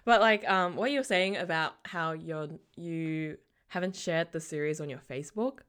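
A woman's voice: low voice, normal speed, fairly bright tone, smooth. A young woman hosting a casual interview chat in an informal way. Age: young adult. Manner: informal, giggly. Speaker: podcast host.

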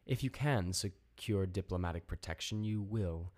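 A man voicing a disembodied alien hive intelligence, speaking in a slow, cold, formal and imperious way for a story. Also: deep voice, a little mellow, lightly acted.

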